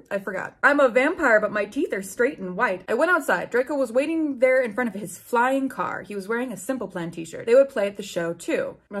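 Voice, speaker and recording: A young adult woman reading fan fiction aloud with animated, sarcastic casual commentary and free swearing. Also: mid-range voice, slightly rough. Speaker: book video host. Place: small room at home with bookshelves.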